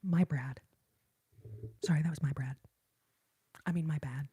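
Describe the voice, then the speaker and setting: Young woman whispering asmr-style right into her phone, super breathy and slow, calling viewers babe. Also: deep voice, fairly even intonation. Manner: soft whisper, greets viewers with pet names. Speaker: ASMR livestream host. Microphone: phone held close.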